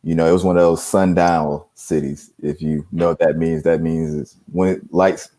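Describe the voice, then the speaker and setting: A man's voice: rumbling low, flat delivery, moderate pace, warm tone. A man from Georgia, talking easily about growing up as an interview guest. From Dixie, Georgia. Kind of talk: interview, personal background.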